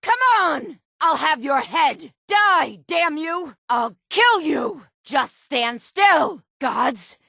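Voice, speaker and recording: A woman's voice: high voice, lively intonation, extremely slow, gravelly, hardly any pauses, bright, forceful tone, no room sound, clean studio sound, exaggerated voice. An actress voicing a sultry, haughty fantasy townswoman for a video game, flirtatious and cutting in a theatrical drawl. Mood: fierce, taunting. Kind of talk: video game voice lines, fantasy dialogue and combat barks.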